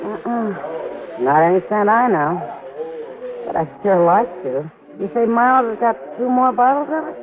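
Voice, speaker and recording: A woman's voice: medium pitch, animated, normal speed, narrow, tinny audio, close to an everyday voice. An actress playing a worldly, easygoing frontier saloon woman with a cool, plain-spoken manner in an old radio western. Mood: curious, easy. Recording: thin old radio recording, crowd behind. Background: saloon crowd.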